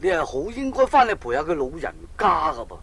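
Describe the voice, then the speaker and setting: Sentimental baker and mentor for a children's storybook drama: high male register, lively melodic delivery, Hong Kong accent. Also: a little fast. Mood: fond, reluctant. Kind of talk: 1980s audio drama acting for children's storybook.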